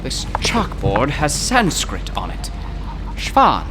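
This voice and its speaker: An actor playing a fussy, wordy gentleman scholar who speaks in flowery, old-fashioned phrases and gets excited easily, in old-time radio drama style. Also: medium pitch, slow pace. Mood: excitable, wordy. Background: music.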